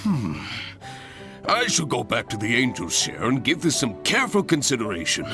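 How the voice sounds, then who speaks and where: A man's voice: medium pitch, wildly swooping pitch, normal speed. A man voicing a pompous, kindly adventurer examiner in a video game, theatrical and fussy, with wordy formal phrasing. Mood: pensive. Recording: background music behind voice.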